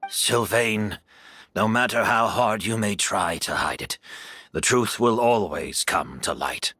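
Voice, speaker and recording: An actor playing a weary, grave man in a video game, speaking in resigned, measured explanations. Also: lowish voice, sharp tone, no echo, clean studio sound, heavily acted.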